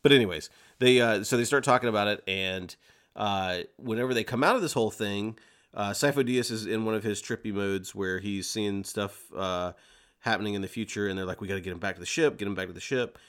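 Low-pitched guy on a podcast, animatedly explaining story stuff to his friends, casual fan chat. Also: fairly quick, fairly bright tone. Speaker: podcast host.

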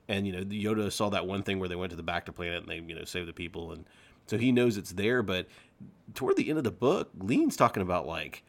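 A man with a fairly low voice talks as a podcast co-host. He rambles casually through a book discussion with friends, dropping in slangy exclamations. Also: animated, quick pace, vocal fry.

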